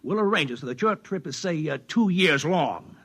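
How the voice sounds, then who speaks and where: A fat, aging, power-hungry boss in a 1950s radio play. The voice is husky and fairly high, with big sweeping swings of pitch. He is sardonic and domineering, relishing every cynical scheme. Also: animated, moderate pace, fairly bright tone, heavily acted. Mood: smug scheming. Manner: sardonic, commanding, theatrical. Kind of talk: 1950s radio drama acting. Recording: 1950s radio broadcast recording.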